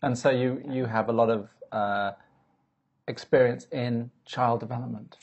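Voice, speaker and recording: An interviewer asking a model quick, curious follow-up questions in a casual chat. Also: mid-range voice, fairly even intonation, a little mellow, husky, highs cut off.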